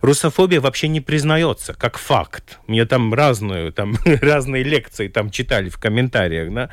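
A man's voice: mid-range voice, expressive, no echo, clean studio sound. Man speaking Russian. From Latvia. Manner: halting, thoughtful, with chuckles and restarts. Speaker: orientalist guest. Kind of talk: radio talk show discussion.

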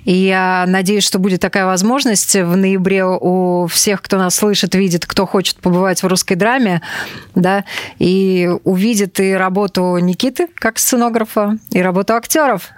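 A woman works as a radio interview host. Her voice sits at a medium pitch and is recorded very dry, with no room around it. She speaks as a moderator addressing guests and listeners. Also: fairly even intonation, moderate pace, dry recording.

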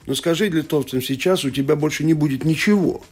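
A man weighs in as a political scientist on a radio discussion show. His voice sits a little high for a man, and his speech is full of pauses, fillers and broken-off phrases. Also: a little mellow, slightly rough. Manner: hesitant, many fillers and restarts.

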